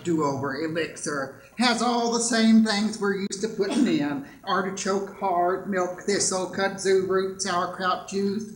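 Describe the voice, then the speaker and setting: Man playing high-voiced folksy radio sidekick. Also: unhurried. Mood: chummy, eager. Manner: folksy, agreeable. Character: chummy male radio ad sidekick, folksy and eager. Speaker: old-time variety show sidekick in sponsor ads. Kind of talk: parody of old-time radio sponsor ad. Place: live on a theatre stage. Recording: roomy live stage with audience. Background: audience.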